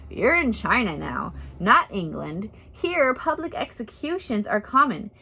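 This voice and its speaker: A woman voicing a gruff, calm missionary woman in her seventies in a storybook, lecturing matter-of-factly. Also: on the high side, measured pace, full character voice. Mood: calm, stern. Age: 70s. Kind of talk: storybook character reading.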